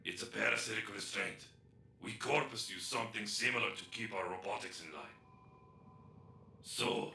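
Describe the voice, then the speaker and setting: A shady game merchant with a heavily gravelled voice and a wry sales pitch. He sounds obliging yet sly, over an echoing comms link. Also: bright, forceful tone, exaggerated voice.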